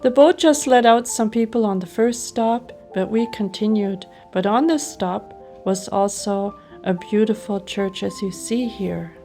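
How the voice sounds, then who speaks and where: A woman's voice: medium pitch, measured pace, few pauses, warm tone, faint music behind. A woman narrating her personal travel vlog in an enthusiastic, appreciative way. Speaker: travel vlogger.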